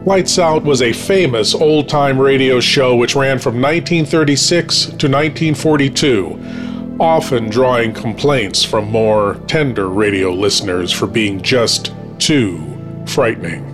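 A man hosting a show, reading scripted countdown narration in a measured, deliberate announcing style. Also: mid-range voice. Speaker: podcast host. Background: music.

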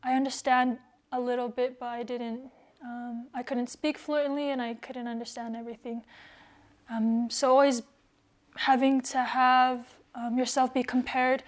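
Young woman, hesitant and soft, slightly high voice with a flat, even melody. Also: unhurried. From United States. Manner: soft-spoken, hesitant, many ums. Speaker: returned missionary guest. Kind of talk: casual personal interview.

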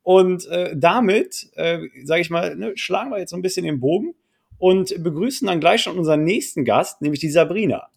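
A man's voice: sits high, animated, normal speed, gravelly, slightly rough. Jokey male podcast host. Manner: jokey, colloquial. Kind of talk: casual podcast hosting.

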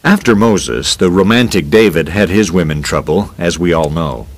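A man reading a scripted scholarly essay aloud in a measured, formal and expressive narrating style. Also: sits low. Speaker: reader of a scholarly talk.

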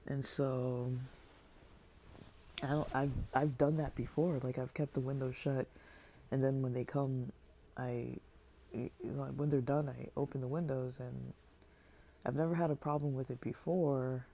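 A woman's voice: rumbling low, fairly even intonation, a little slow, long pauses, dark tone, slight background noise. A woman vlogger talking in a casual, hesitant, rambling way, full of fillers and restarts, and sounding slightly stuffy and tired. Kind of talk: casual vlog monologue.

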